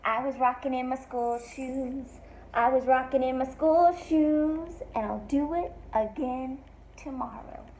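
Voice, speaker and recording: A woman reading a picture book to young children like a teacher, expressive and sing-song, with encouraging questions. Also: sits high, a little slow, slight background noise, rough recording. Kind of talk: read-aloud for young children, asking questions.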